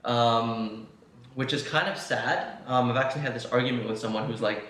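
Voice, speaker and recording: A man's voice: mid-range voice, normal speed, a bit bright, a little hiss or hum. Young guy hosting a podcast, chatty, lots of ums, sounds far off in a really echoey room. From United States. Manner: chatty, playful, many fillers and restarts. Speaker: podcast host interviewing his co-host. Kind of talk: casual podcast chat. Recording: echoey room, distant mic.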